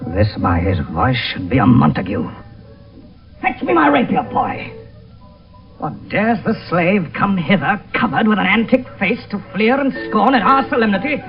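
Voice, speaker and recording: Vengeful young aristocrat: scornful, very animated, radio Shakespeare, muffled broadcast. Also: male voice, sits high, moderate pace. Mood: furious. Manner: fierce, scornful. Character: hot-headed, vengeful young nobleman, spoiling for a fight. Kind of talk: 1970s radio Shakespeare acting. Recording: muffled distant radio broadcast, music behind.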